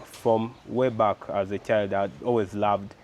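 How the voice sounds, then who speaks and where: Young man, Ugandan English accent, lively rhetorical talk, low voice, faint room ambience. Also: measured pace, slight background noise. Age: young adult. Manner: animated, rhetorical questions, many fillers. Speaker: guest, car builder. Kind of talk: casual interview.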